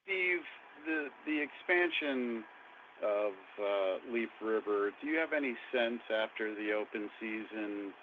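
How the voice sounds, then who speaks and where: Guy phoning into an earnings call, kinda low voice, pauses while asking, tinny line w/ slight hiss. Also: lively intonation. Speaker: financial analyst, caller. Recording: tinny phone line with faint noise.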